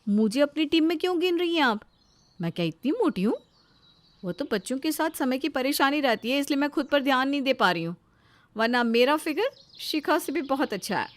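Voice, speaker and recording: A jealous elder daughter-in-law from a family morality tale, acted out by a woman. Her voice is raised and highly expressive. She is prickly, sarcastic and quick to defend herself. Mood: indignant.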